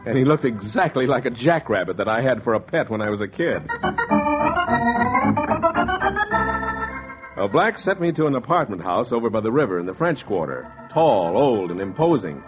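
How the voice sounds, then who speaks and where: A slick opportunist with a medium-pitched voice that swings expressively. He is wry and hardboiled in 1940s radio style, heard on a dull old recording with some music. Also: a little fast, few pauses. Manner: wry, animated storytelling. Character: slick, wry, scheming fur speculator telling his tale.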